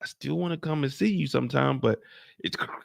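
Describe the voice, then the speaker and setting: A man's voice: medium pitch, vocal fry, no room sound. A man putting on a nervous young male henchman who stammers and trips over his words while talking on a phone. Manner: stammering, halting, repeats words.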